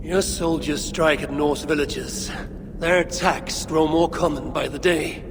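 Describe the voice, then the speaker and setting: An actor playing a blunt, defiant Norse warrior in a video game cutscene, speaking in measured, terse lines. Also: male voice, on the high side, steady tone, background noise. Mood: calm, firm. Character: Norse raven-clan warrior from England, steady, defiant, blunt.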